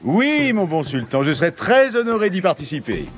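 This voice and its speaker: An actor playing a condescending, obsequious palace courtier in a French children's cartoon. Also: male voice, high voice, very animated, moderate pace.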